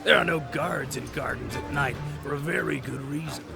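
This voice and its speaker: A man playing a boastful, lecherous fat rogue with a gravelly voice and bawdy, mocking bluster in a fantasy audio drama. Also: medium pitch, moderate pace, over loud music. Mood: scornful mocking.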